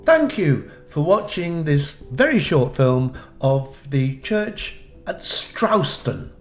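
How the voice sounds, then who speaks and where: Slow, dry-humoured man narrating a church tour. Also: fairly high pitch, very animated, reverberant, faint music behind. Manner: slow, dry wry asides. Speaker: church tour video host.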